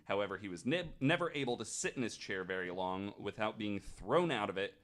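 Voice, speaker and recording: Low-voiced man telling ghost stories. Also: lively intonation, brisk, fairly bright tone. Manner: joking, casual swearing. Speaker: podcast host. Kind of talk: casual retelling of ghost stories from notes.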